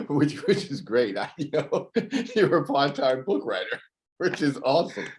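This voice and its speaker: A man's voice: medium pitch, very animated, a little slow. A man hosting an interview show, chatty and friendly, laughing often. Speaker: host.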